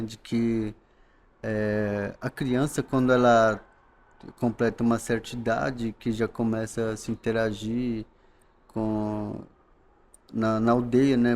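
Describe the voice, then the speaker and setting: A man from the coast of São Paulo, Brazil, speaking as a podcast guest in a calm, halting way, often ending phrases with tag questions. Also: medium pitch. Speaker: guest, knowledge keeper. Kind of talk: podcast interview.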